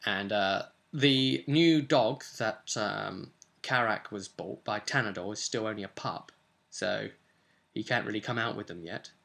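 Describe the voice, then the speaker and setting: A man's voice: fairly low pitch, a little slow, vocal fry, a bit bright. A young adult man chatting informally to camera as a game master, thinking aloud in a rambling way in a room at home. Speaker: vlog host, tabletop game master. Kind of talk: casual vlog talk about a role-playing game. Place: small furnished room at home, indoors. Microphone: webcam or camera at desk distance.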